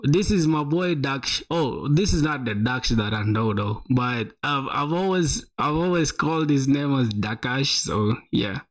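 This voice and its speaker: A man's voice: mid-range voice, lively intonation, unhurried, hardly any pauses. A young man live-streaming, reviewing viewers' websites in a casual, slangy way, full of "bro" and "my boy".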